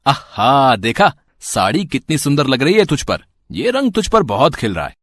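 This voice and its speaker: A man's voice: mid-range voice, big pitch swings, normal speed, fairly bright tone, slight background noise. A man playing a poor, loving husband in a moral story, speaking earnestly and tenderly. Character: loving, poor husband and worried auto driver. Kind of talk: acted dialogue in a Hindi moral story.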